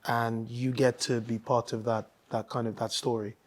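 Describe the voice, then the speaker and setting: This man grew up in Nigeria and talks as a guest in a relaxed interview. His voice sits mid-range, and he thinks aloud, with frequent ums and restarts as he gathers his points. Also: medium pitch, normal speed. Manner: thoughtful, with many ums and restarts. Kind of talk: casual interview conversation.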